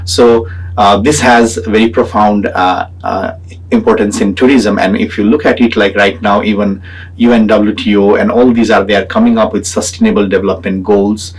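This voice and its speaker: A man from Nepal with a Nepali accent gives an explanatory academic talk. His voice is fairly low and husky. He speaks haltingly, stopping to find his words as he lays out his points. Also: steady tone, rough recording. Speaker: PhD student and researcher. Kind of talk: semi-prepared explanatory talk about own research.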